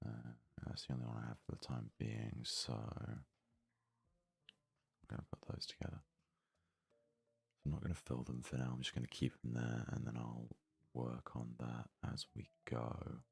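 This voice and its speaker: A man giving soft-spoken ASMR game commentary, murmuring his thoughts aloud in a breathy voice that often slips into a whisper. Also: rumbling low, flat delivery, no room sound. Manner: murmured, unhurried thinking aloud. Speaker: let's play host.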